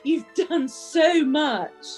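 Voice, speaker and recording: A polished, gushing woman manager played by an actress in an audio drama. Her voice is extremely high and lilting, formally courteous, over faint music. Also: lively intonation, drawn-out words, darkish tone, full character voice. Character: gushing, polished, professional female resort manager.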